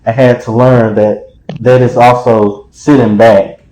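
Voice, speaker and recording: Dramatic, expressive man in a roomy space. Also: medium pitch, a little slow. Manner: rambling with many fillers and restarts. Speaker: guest. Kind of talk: casual interview chat. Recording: roomy echo.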